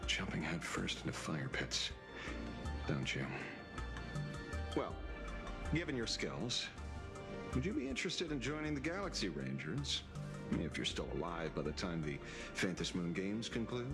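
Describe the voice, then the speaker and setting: Wry veteran ranger for a game: low, leisurely drawl, hugely expressive, teasing, world-weary. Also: male voice, measured pace, pauses often, full character voice. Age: older. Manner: drawling, teasing, chuckling, theatrical. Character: old wry drifter ranger, sly, theatrical, world-weary gunslinger. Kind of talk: video game voice acting. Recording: loud game music behind the voice.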